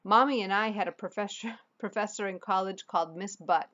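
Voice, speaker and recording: A woman voicing a playful, excitable dad in a storybook, teasing and exclaiming. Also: mid-range voice, brisk, highs cut off, lightly acted. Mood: joking.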